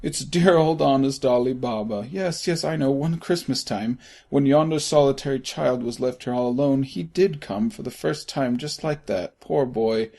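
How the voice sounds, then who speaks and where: A man voicing an old miser caught between laughing and crying, excited and tearful. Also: mid-range voice, normal speed, vocal fry, breathy edge.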